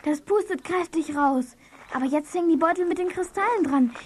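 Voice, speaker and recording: A woman's voice: very high voice, brisk, darkish tone, slight background noise. A woman playing a resourceful, decisive young girl leader in a children's audio play. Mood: confident. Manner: decisive, encouraging.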